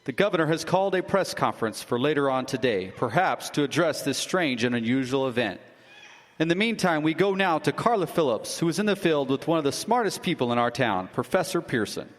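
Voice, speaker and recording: An actor playing a radio news announcer in a live audio drama, speaking in formal newscaster style. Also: male voice, fairly high pitch, animated, fairly quick, long reverb tail, close to an everyday voice.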